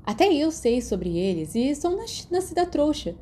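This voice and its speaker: A clever teenage witch from a fantasy storybook, performed by a woman. She is kind but outspoken, making her points frankly. Her voice stays mellow and rounded. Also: high-pitched, big pitch swings, a little slow, slight background noise, voice pushed up. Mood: pointed, frank.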